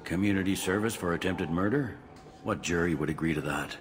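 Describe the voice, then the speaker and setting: An actor playing a gruff, world-weary police captain in a video game, talking bluntly and wryly in everyday slang. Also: male voice, low-pitched, fairly quick, close to an everyday voice. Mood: weary, skeptical. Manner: blunt, colloquial, wry. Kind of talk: video game character dialogue. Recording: long hall reverb, faint background noise.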